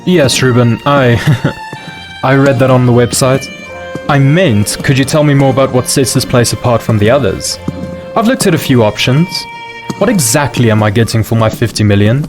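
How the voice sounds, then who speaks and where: A man's voice: mid-range voice, expressive, moderate pace, music in the background, lightly acted. A man playing a wealthy, entitled tech mogul with a casual, probing way of talking in an audio drama. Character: wealthy, demanding male tech mogul shopping for a bunker.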